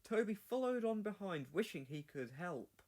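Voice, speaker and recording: A man's voice: high voice, big pitch swings, normal speed, darkish tone. A man reading scripted narration for a children's storybook read-along.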